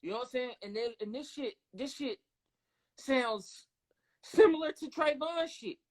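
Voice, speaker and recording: A man's voice: very high voice, animated, measured pace, low-quality recording. A man with an American accent chats as a podcast co-host. His talk is blunt, slangy and profane, punctuated with little check-in questions to the other host. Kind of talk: casual, profane podcast conversation.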